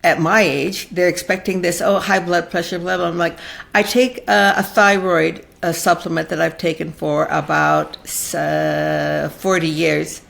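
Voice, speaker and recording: A woman's voice: fairly low pitch, level tone, unhurried, creaky, a bit bright. An older woman hosting a conversational interview, retelling exchanges by acting out what people say. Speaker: podcast host.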